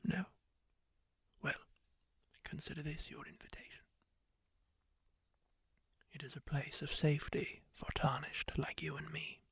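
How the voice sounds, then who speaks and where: A man plays a kindly masked wanderer, tending a wounded stranger in a soft ASMR whisper. The voice is gravelly and breathy, drawn out slowly, yet it lilts up and down with gentle, musing asides. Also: fairly high pitch, level tone, no echo, full character voice. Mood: soothing. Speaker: ASMR roleplay creator.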